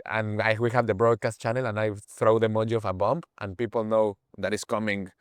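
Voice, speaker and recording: A young man in his twenties speaks English with a Mexican Spanish accent. His low voice is smooth and clear, recorded dry and close, and he pauses now and then to search for the right word. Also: level tone, no echo. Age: 20s. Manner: halting, searches for words in English. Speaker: guest, page owner. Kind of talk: casual interview chat.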